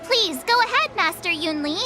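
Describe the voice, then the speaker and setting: An eager teenage girl learning the sword in an anime game. Her voice is extremely high and bounces with lively intonation. She sounds earnest and exclamatory over clear background music. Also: normal speed. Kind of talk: anime-style video game voice acting.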